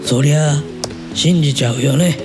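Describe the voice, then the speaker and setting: An easygoing middle-aged uncle voiced by a man speaks in a fairly high voice with music behind him. He is casual and blunt, chatting like a soft touch in an audio drama. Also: moderate pace, creaky. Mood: chatty, amused. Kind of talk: Japanese audio drama dialogue.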